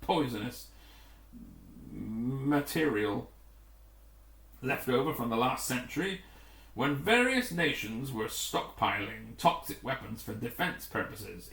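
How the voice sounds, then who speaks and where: A man reading the part of a grim, authoritative rescue commander in a storybook, formal and deliberate. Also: mid-range voice, very animated, a bit bright, distant mic, full character voice. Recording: roomy, echoing room.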